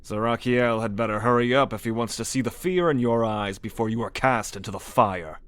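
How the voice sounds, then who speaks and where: A man's voice: mid-range voice, fairly quick, a bit bright, smooth, natural voice. A man playing a zealous, sadistic servant and executioner in a dramatic audio roleplay, with a grandiose, menacing delivery. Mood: gloating.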